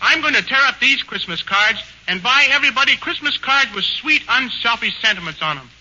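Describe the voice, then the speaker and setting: Man doing a very high boyish whine. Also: expressive, brisk, bright, forceful tone. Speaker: singer and comic foil. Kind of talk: 1940s radio comedy banter. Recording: dull old radio broadcast, faint hiss.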